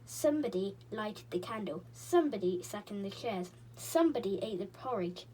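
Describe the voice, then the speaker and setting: A woman voicing a bossy, excitable male character in a storybook, speaking in a brisk, decided way. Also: mid-range voice, a little hiss or hum, lightly acted, low-quality recording.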